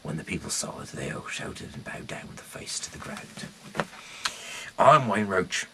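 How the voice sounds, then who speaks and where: Very deep, creaky-voiced man reading aloud. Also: big pitch swings, in a whisper. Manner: halting, stumbling over words and repeating phrases. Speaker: host reading scripture aloud. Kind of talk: read-aloud Bible narration with casual slips.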